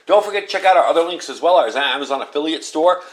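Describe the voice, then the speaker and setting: A man's voice: fairly high pitch, expressive, fast talker. Casual American man reviewing gear. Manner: plainspoken, conversational, explanatory. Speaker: product reviewer.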